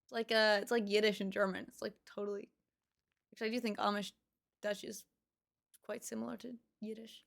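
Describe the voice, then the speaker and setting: A woman's voice: medium pitch, flat delivery, fairly quick, creaky, long pauses. A woman livestreamer chatting casually with her viewers, musing aloud and reading out their comments. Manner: chatty, musing, reads out chat.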